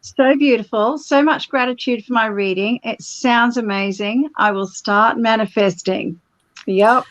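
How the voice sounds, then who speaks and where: A woman's voice: on the high side, measured pace, dry recording, highs cut off. A woman hosting a live psychic call-in show, effusive, reading out viewer messages and passing them to readers. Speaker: show host.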